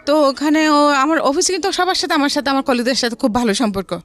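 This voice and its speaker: Woman, middle-aged, Bangladeshi accent, fairly high pitch, halting storytelling, repeated phrases, faint music behind. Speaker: guest telling her life story. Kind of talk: personal storytelling in a radio interview. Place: radio studio. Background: background music.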